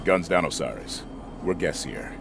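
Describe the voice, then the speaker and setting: A man's voice: extremely low pitch, expressive, normal speed, creaky, noisy background, full character voice. An actor playing a stoic, disciplined male squad leader in a video game, terse and commanding. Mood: controlled. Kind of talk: video game cutscene acting. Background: very loud ominous music.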